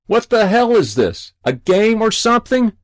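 A man voicing an exasperated fireman, out of breath and yelling gruffly, in an audiobook story. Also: high voice, very animated, measured pace, no echo, studio quality, pitched above the performer's own voice.

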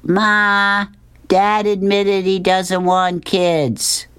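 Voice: mid-range voice, level tone, unhurried, a bit bright, clear, resonant tone, no echo, pitched above the performer's own voice, close to an everyday voice. A performer voicing a cheeky, tattling young boy from a comic strip.

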